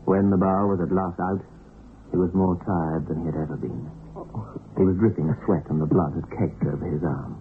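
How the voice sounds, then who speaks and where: A man narrating a suspense radio play in a measured, dramatic storytelling manner. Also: sits low, steady tone, a little hiss or hum. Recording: phone-like narrow-band old radio recording. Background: background music.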